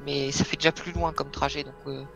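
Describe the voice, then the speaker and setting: A man's voice: on the high side, little pitch movement, brisk, gravelly, over music. A man playing a tabletop role-playing game, planning moves aloud with many fillers. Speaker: player. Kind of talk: casual chat planning a game session.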